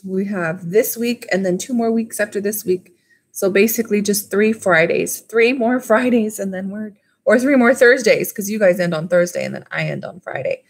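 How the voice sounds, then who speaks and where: A woman in her thirties reading a children's book aloud as a school librarian, with casual asides to the listeners. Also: medium pitch. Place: small wood-panelled room at home. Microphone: webcam or laptop at desk distance.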